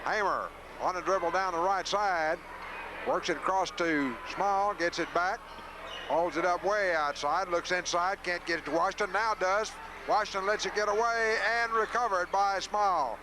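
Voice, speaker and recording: A high-voiced man gives live basketball play-by-play for local radio. His delivery is folksy and partial to the home side. Clear background noise from a gym runs under the old 1980s broadcast recording. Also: normal speed.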